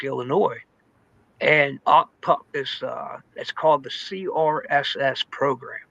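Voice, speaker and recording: A man tells his story in a candid, informal way, like talking to friends. His voice is forward and bright with a creaky, gravelly grain. The sound is close and dry, if a little dull and lo-fi. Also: medium pitch, no echo. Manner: informal, candid, peppered with "man", "dude" and "you know". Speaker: testimony guest in recovery. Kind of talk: personal testimony, conversational storytelling.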